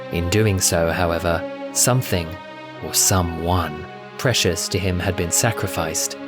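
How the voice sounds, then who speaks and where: A man giving scripted, dramatic narration of a science fiction audio adventure. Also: low voice.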